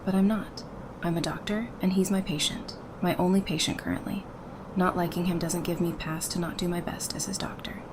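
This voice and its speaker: An actress playing a frank, determined and playful psychiatrist surviving the apocalypse, talking informally in an audio drama. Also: female voice, lowish voice, steady tone, fairly quick, close to an everyday voice. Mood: firm. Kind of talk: fan audio drama acting. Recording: clearly noisy, with ambience behind.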